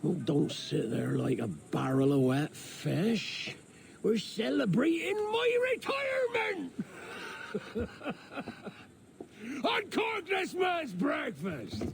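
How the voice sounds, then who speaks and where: Slow, gravelly veteran pirate captain. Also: male voice, extremely high pitch, big pitch swings, raised voice, background noise, full character voice. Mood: boisterous. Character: weary, boisterous veteran male pirate captain, proud and hearty. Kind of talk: video game cutscene acting.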